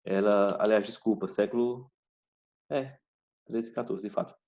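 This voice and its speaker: A man teaching a history class over a video call, explaining slowly and checking in with "tá certo?" after each point. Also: fairly low pitch, fairly even intonation, a little mellow. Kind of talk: online class lecture. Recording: dull video call audio.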